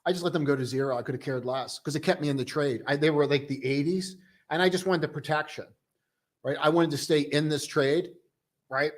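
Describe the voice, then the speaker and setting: A young man casually hosting a live stock-trading stream, chatting loosely and joking with viewers in a relaxed, tired way. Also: on the high side, brisk. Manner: loose, joking, full of restarts and "dude". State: tired, low on coffee.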